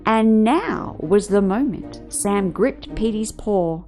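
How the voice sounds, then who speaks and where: Slow, animated woman storyteller. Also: medium pitch. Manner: expressive, rhythmic verse delivery. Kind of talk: children's picture book read-aloud. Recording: music behind the voice.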